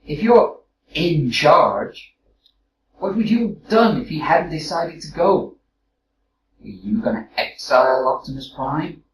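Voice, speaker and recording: A man's voice: on the high side, lively intonation, takes it slowly. A brash male robot captain, voiced by a man for an audio drama. He argues with sarcastic, needling bite, and the sound is echoey and slightly distant with a faint noise underneath. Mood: challenging, sarcastic. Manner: needling, argumentative.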